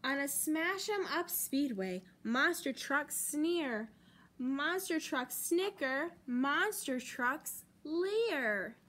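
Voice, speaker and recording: High-pitched American woman reading a storybook. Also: animated, extremely slow, fairly bright tone. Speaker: librarian storytime host. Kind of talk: expressive picture-book read-aloud for children. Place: library children's room, indoors.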